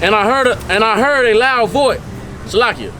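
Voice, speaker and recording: A man with a strikingly high, forceful voice declaims Bible verses in short, separate phrases. He reads aloud outdoors, with some street noise behind him. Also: moderate pace, poor audio. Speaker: scripture reader assisting a street preacher. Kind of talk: loud, emphatic Bible reading aloud.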